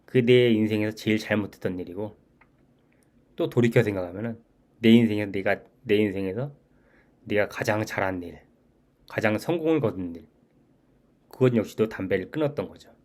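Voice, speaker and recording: A man in his forties speaks in a fairly low voice, talking earnestly through a personal story. He circles back to his main points again and again and often stops to restart a phrase. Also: a little fast, pauses often, a little mellow. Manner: earnest, repeats key points, frequent restarts. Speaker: channel host. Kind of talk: reflective personal monologue.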